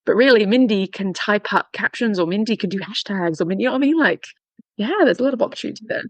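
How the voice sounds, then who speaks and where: A woman's voice: mid-range voice, fast, no echo. A woman hosting a podcast, chatting casually and laughing often. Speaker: podcast host.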